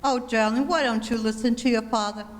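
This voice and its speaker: A woman's voice: fairly high pitch, fairly even intonation, natural voice. An actress playing a sensible, wry mother in an old-time radio comedy. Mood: wry, reasoning. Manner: brisk, wry. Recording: reverberant hall, faint noise.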